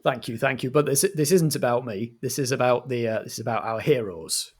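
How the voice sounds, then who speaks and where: A British man hosting and narrating improvised radio comedy in a measured, mock-formal manner. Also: medium pitch, breathy edge. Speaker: host and game master.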